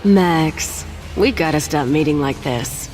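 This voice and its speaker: A woman's voice: sits low, slow, over music. An actress playing a cool, flirtatious female contract killer with a teasing, unruffled manner.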